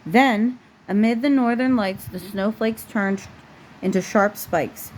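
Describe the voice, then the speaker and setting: A woman's voice: medium pitch, takes it slowly, rough recording. A woman with a mid-range voice reads a bedtime storybook aloud as a parent. It is a home recording with light noise behind her.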